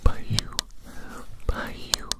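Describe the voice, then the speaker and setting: A person whispering breathily in an ASMR roleplay as a fussy, fascinated doctor, soothingly repeating short phrases. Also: slow. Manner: soothing, repetitive, murmuring phrases over and over. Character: fussy, fascinated doctor studying a little creature. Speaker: ASMR performer playing a doctor measuring a specimen.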